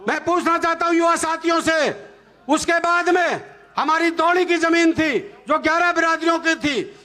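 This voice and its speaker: Haryanvi guy doing a rally speech, really high strained pitch, sharp and defiant, keeps repeating stuff for emphasis. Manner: defiant, emphatic, repetitive, rhetorical questions. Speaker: community leader and politician addressing a rally. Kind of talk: fiery political rally speech.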